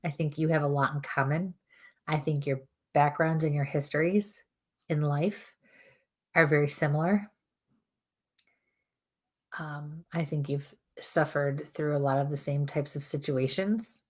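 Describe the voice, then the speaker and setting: A woman medium giving spirit messages on a live show in a calm, earnest way, her throat strained as she loses her voice. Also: deep voice, a little slow, long pauses. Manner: calm, earnest, relays messages in repeated "I feel like" phrasing. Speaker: psychic medium and co-host. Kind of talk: live chat-room spirit readings.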